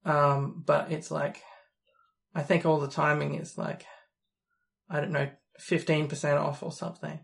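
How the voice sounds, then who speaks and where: Posh australian accent, sounds nearly british, gravelly fry, monotone, rambling, lots of ums, casual podcast chat. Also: mid-range voice, a little slow, long pauses. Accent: posh-sounding Australian, sometimes taken for British. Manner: rambling and hesitant, many ums and restarts. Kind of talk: casual chat about a video game.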